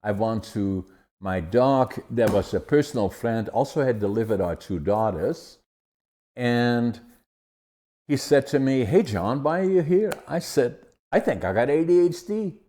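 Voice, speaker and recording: Older Dutch-accented man, dark voice. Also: mid-range voice, lively intonation, measured pace. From Netherlands. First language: Dutch dialect. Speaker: guest. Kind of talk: conversational interview.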